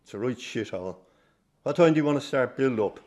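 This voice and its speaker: A man's voice: medium pitch, expressive, fairly quick, darkish tone, close to an everyday voice. An actor playing a bitter, stubborn old Irish circus father, grumbling bluntly in a radio drama. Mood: grumbling, scornful.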